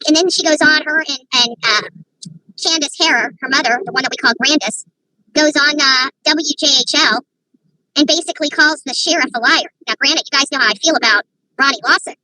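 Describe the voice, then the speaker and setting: A woman's voice: high-pitched, pushed and bright, a little hiss or hum, low-quality recording. A woman giving opinionated, rambling livestream commentary from her phone, often breaking into indignant rhetorical questions. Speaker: online commentator.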